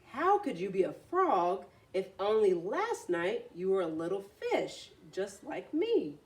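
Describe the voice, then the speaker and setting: A woman's voice: mid-range voice, wildly swooping pitch, measured pace, darkish tone. A woman voicing an eager, curious young male minnow in a storybook read-aloud. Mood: puzzled. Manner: impatient, questioning.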